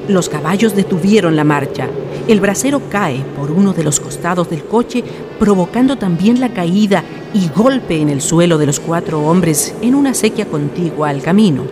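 A man's voice: high-pitched, heavy background noise. A man narrating a scripted horror radio drama in a solemn, dramatic manner. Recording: radio play with music underneath. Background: music.

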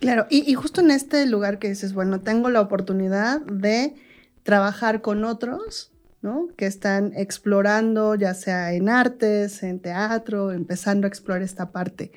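A woman with a medium-pitched voice acts as interviewer. She speaks conversationally and attentively, in an agreeing way. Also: a little slow. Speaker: interviewer, radio host.

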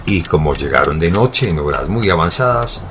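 A man's voice: sits low, expressive, normal speed, music in the background. A man narrating a scripted audio drama in a formal, literary storytelling manner. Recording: clear background ambience noise.

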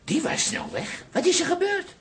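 A man's voice: very high-pitched, wildly swooping pitch, moderate pace, a bit bright, faint music behind, exaggerated voice. An actor playing a doting middle-aged father in a radio drama, earnest and reassuring. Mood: concerned.

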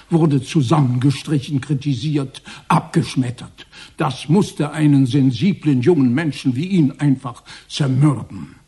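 An actor playing an embittered, grieving old former mayor in a radio crime drama, speaking in a grandiose, lamenting, declamatory way. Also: male voice, a little high, dark tone, breathy edge, heavily acted. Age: older.

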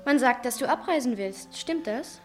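A woman's voice: sits high, lively intonation, moderate pace, faint music behind. An actress playing a lovestruck, proud young woman, earnest and theatrical in the manner of a 1960s German radio play. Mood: reproachful.

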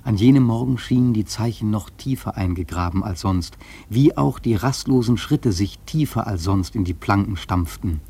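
A grave seafarer telling his tale in a literary radio play. His voice is fairly low and husky. The delivery is solemn, with dramatic emphasis. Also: moderate pace, dark tone. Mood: solemn, awed. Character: grave, awed sailor recounting his voyage. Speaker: narrator.

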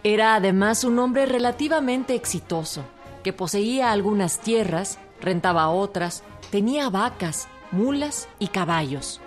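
A woman's voice: mid-range voice, unhurried, faint music behind. A woman giving scripted documentary narration for a radio drama.

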